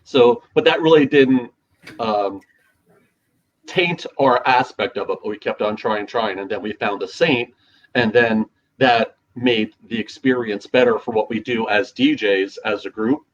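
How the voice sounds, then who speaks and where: A male DJ co-host chats casually, wandering through fillers and restarts with a slightly gravelly edge. The recording is dull and lo-fi, with a faint ambient hum behind him. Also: lowish voice, unhurried. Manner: rambling, filler-heavy, restarts often. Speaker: podcast co-host, DJ. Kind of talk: casual chat.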